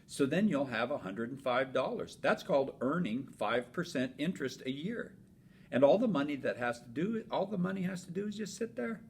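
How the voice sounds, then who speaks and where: A man's voice: expressive, moderate pace, gravelly, darkish tone. A man reading aloud as a patient, reasonable father in a storybook, explaining things with upbeat emphasis. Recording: noisy with low rumble.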